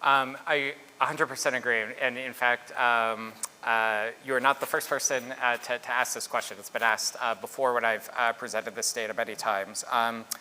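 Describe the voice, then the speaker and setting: A man in his thirties presenting research at a conference, halting and full of fillers. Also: mid-range voice, steady tone, pushed and bright. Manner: halting, filler-heavy, restarts mid-thought. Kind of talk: conference talk with Q&A. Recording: echoey hall, faint noise.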